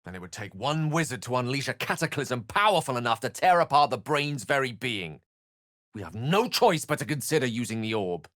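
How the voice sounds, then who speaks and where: A man's voice: medium pitch, very animated, fairly quick, a bit bright, no echo. An actor playing an eloquent, scholarly male wizard with dry wit in a fantasy video game, speaking in articulate, theatrical phrases. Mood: earnest, intense.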